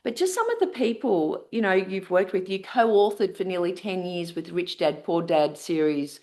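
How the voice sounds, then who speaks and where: A woman's voice: on the low side, lively intonation, normal speed, darkish tone. A woman from Australia with an Australian accent hosting an interview, effusive and full of fillers and restarts. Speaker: podcast host.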